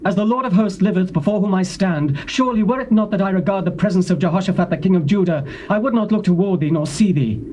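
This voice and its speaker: A man's voice: sits high, fast, few pauses, a little mellow, dull audio, a little hiss or hum, close to an everyday voice. An actor playing a stern male prophet who speaks in a solemn, declaiming manner. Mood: scornful. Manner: solemn, declamatory, proclaiming oracles.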